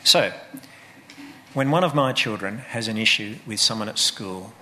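A man's voice: on the low side, normal speed, pushed and bright, slight background noise, rough recording. A man giving an earnest, sermon-like talk about faith and family.

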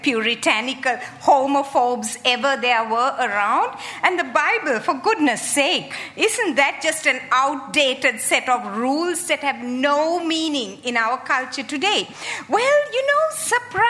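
A woman in her sixties from Sri Lanka, giving a humorous, animated public talk as a guest speaker. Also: high voice, unhurried. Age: 60s. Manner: lively, animated, joking.

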